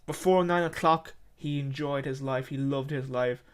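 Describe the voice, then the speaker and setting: A man's voice: mid-range voice, steady tone, clear, resonant tone. Man narrating a story in short phrases. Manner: halting, repetitive short phrases.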